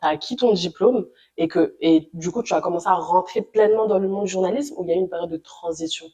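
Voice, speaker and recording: Interviewer with a mellow, warm tone. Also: female voice, fairly low pitch, fast talker. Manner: encouraging, rephrases and sums up. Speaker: interviewer, host.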